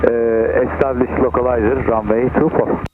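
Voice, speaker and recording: A man's voice: mid-range voice, level tone, moderate pace. A man speaking as an airline pilot, giving procedural readbacks over aviation radio. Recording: tinny noisy aircraft radio.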